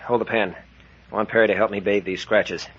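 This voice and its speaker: An actor playing a brisk, commanding captain who gives clipped orders in 1940s radio adventure style. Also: male voice, on the low side, fairly even intonation, slight background noise, natural voice. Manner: clipped, folksy, giving orders. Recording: dull vintage 1940s radio recording.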